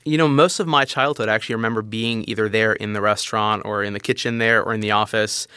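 Bright, crisp New York-raised man. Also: on the low side, steady tone, fast talker, dry recording, studio quality. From New York City. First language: French. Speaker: guest, restaurant founder. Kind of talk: interview answers.

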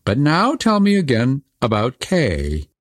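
A man's voice: medium pitch, huge pitch swings, a little slow, no echo, clean studio sound, voice pushed up. A man voicing a fierce, wild little robber girl who talks in a bossy, threatening way.

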